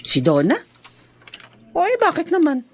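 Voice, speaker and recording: An actress playing a chatty, inquisitive and reassuring friend in a radio drama. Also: female voice, high voice, huge pitch swings, a little slow, darkish tone, slight background noise, heavily acted. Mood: curious.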